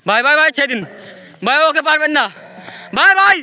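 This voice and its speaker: A man reacting in fear outdoors at night, with rapid, repeated anxious exclamations. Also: very high voice, lively intonation, sharp tone, poor audio. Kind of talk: frantic live commentary.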